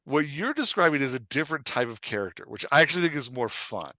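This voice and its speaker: Male host-coach, medium pitch, conversational and animated, explains and checks in, very dry sound. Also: fast, no echo. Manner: animated explainer, acts out characters' lines, checks understanding often. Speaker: podcast host and writing coach.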